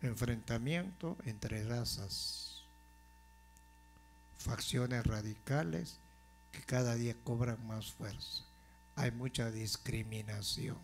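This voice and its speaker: A male pastor preaches at a very slow, deliberate pace. His voice sits at a middle pitch, and he builds his points to forceful emphasis. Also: slight background noise. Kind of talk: sermon.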